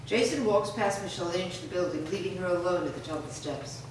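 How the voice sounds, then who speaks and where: A woman's voice: fairly low pitch, fast, a little mellow. Woman, stage directions, plain reading, far away, echoey room, noise. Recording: roomy echo, far from mic, noise.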